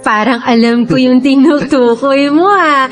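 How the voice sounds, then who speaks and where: Coy, playful college girl, Filipino radio drama, high-pitched, light music bed. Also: unhurried. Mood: teasing. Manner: teasing, coy. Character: Jamie, playful teasing young female college student.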